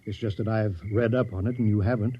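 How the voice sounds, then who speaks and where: An actor playing a fussy village mayor who talks in a fluttery, apologetic, stammering way in a 1950s radio drama. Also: male voice, on the low side, fairly even intonation, fast, a little hiss or hum, natural voice. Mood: cheerful. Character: fussy, flustered village mayor turned general. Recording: dull old radio recording.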